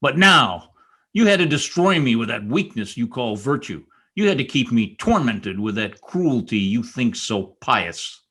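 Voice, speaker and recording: An actor playing a brooding, bitter, vengeful spurned lover in an old-time radio drama, speaking formally and intensely. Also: male voice, medium pitch, very animated, measured pace, a bit bright, breathy edge, exaggerated voice. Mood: bitter, accusing. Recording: remote video-call recording.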